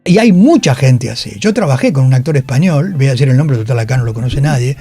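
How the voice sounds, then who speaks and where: A man's voice: mid-range voice, expressive, fast, mellow, breathy edge, no echo. A middle-aged man with an Argentine accent talking in a radio interview, emphatic and opinionated, often repeating himself. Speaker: guest, theatre actor and director. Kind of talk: animated radio interview conversation. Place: radio studio.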